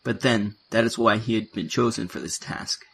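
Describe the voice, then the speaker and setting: A man's voice: fairly low pitch, moderate pace, gravelly, fairly bright tone, slightly rough. A man narrating a science fiction audio play in a scripted storytelling style.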